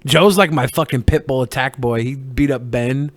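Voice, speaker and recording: A man of middling pitch riffs casually as a podcast co-host. He is brash and mocking, swears freely, and his voice is captured dry and close. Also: normal speed, no room sound. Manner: brash, profane, sarcastic.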